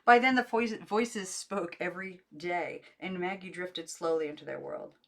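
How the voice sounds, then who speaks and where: Female amateur audiobook narrator, lower-pitched, scripted children's fiction read aloud. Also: moderate pace, vocal fry.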